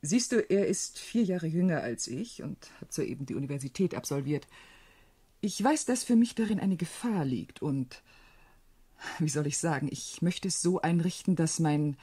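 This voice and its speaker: A shrewd, worldly widow in a German radio drama. Her husky, mellow voice sits on the low side, and she speaks with deliberate, proud self-possession. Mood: businesslike, firm. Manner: deliberate, expressive, philosophizing. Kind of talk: German radio play acting.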